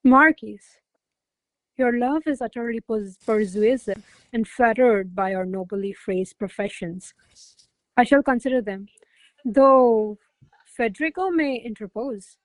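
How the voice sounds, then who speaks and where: An actress playing a haughty, quick-witted noblewoman in a classical comedy radio play, imperious, formal and articulate. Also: fairly high pitch, no room sound, lightly acted, low-quality recording. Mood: cool, ironic. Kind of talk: stage-style radio play acting.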